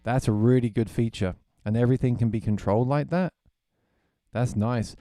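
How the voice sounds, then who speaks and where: A man's voice: mid-range voice, moderate pace, warm tone, no room sound, studio quality. A man chatting casually on a livestream while demoing music gear, thinking aloud and often repeating words. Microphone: vocal mic through effects unit.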